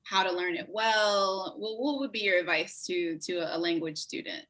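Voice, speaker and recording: A woman interviewing a guest, encouraging and quick with affirmations. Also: fairly low pitch, fairly bright tone. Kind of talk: interview host.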